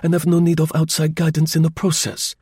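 A man's voice: a little high, completely flat delivery, brisk, warm tone, slightly rough, no echo, voice pushed up. A man voicing a masterful, sarcastic agent in command, curt, decisive and dry, in a dramatised storybook. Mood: acerbic, dry. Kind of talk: dramatised audiobook dialogue.

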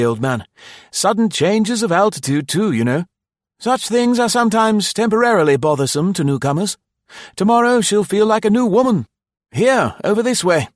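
A man's voice: sits high, no room sound, clean studio sound, pitched above the performer's own voice. A man voicing a cheerful, fussy British male guesthouse host in an audiobook, jaunty and effusively polite, with clipped phrases. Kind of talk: audiobook character voice.

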